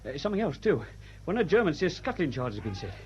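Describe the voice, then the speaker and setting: Hurried British petty officer, very rapid. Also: male voice, a little high, big pitch swings, a little hiss or hum. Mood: hurried reporting. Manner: hurried, informal reporting. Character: breezy British petty officer, dutiful messenger. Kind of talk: radio drama acting. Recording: dull, lo-fi radio recording.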